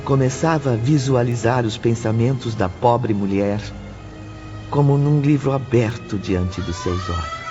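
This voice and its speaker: A man narrating a scripted literary story in a measured, dramatic way. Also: medium pitch, warm tone. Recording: dull lo-fi audio, faint music.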